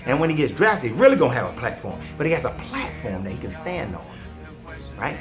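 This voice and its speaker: A man's voice: medium pitch, sing-song, a little fast, over music. A man in his forties giving an emphatic, preachy motivational monologue, talking straight to his viewers. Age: 40s. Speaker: online commentator and life coach.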